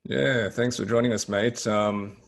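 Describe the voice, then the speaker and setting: A man's voice: fairly low pitch, fairly even intonation, a little slow, creaky. An Australian man hosting a podcast, welcoming a guest in a laid-back way full of fillers. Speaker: podcast host. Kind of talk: casual interview intro.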